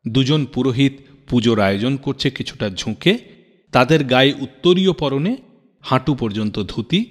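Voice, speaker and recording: A man narrates a radio program in a practiced storyteller's way, his pitch sitting mid-range but swinging widely for dramatic effect. His voice rings with a long reverb, and soft music plays faintly underneath. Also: moderate pace. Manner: expressive, dramatic storyteller pacing. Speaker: radio show host.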